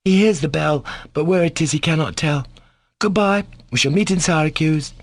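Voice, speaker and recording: A man voicing a defiant, insolent schoolboy in a storybook, speaking loudly and incisively. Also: on the high side, normal speed, voice pushed up. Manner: loud, incisive, rapped-out delivery. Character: defiant, cocky schoolboy, sharp-tongued and insolent.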